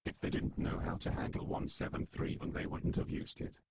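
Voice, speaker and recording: A man's voice: a little fast, a little mellow, no echo, close to an everyday voice. A man playing a grim secret-organisation council member in a whispery, voiceless tone, in dramatic meeting dialogue. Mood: grave, analytical.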